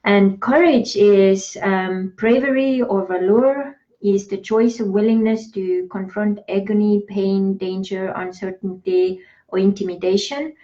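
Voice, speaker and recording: A woman's voice: medium pitch, steady tone, a little slow, mellow, lo-fi sound. Chatty woman with an Estonian accent. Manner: chatty, laughs often, reads definitions aloud. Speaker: podcast co-host. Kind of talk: casual chat.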